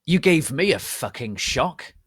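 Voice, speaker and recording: A man voicing a furious, sarcastic, melodramatic teenage goth girl who shouts and growls. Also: fairly high pitch, very animated, unhurried, dry recording, studio quality, voice pushed up. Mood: angry.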